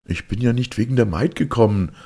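A man's voice: mid-range voice, brisk, vocal fry, mellow, husky, voice pushed up. A man voicing a poor, frightened but sly woodcutter in a storybook, sighing and pleading. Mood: placating.

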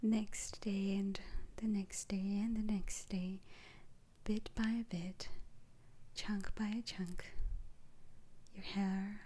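A woman playing a soothing, faintly sly witch doctor in an ASMR roleplay, speaking in a breathy, halting whisper. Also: medium pitch, level tone, dark tone. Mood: regretful, hushed.

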